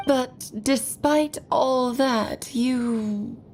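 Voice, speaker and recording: An actress playing a whimsical, worldly magician friend in a video game, musing theatrically and affectionately. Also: female voice, sits high, a little mellow, slight background noise. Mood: musing, doubtful. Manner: theatrical, musing, drawn-out emphasis.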